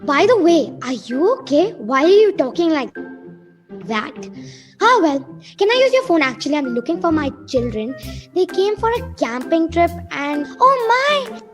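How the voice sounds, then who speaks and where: A woman's voice: very high voice, big pitch swings, normal speed, music in the background. A woman playing a breezy, chatty mother in a radio play. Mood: breezy, flustered. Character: breezy, chatty mother Doris looking for her children. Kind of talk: amateur radio play acting.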